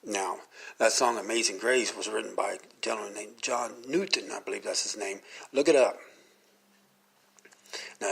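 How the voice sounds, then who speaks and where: A man's voice: on the low side, vocal fry, fairly bright tone, husky. A man of fifty-nine giving an informal devotional talk in a rambling, conversational, earnest manner.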